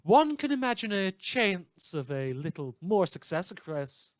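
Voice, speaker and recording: A man performs a wry British newsreader for a fictional radio show. The delivery is theatrical and world-weary, in a slightly high voice that rises and falls dramatically. It is recorded dry and close. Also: sing-song, unhurried, no echo. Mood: droll, exasperated. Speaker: podcast performer playing a broadcast host. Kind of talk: scripted fictional radio broadcast.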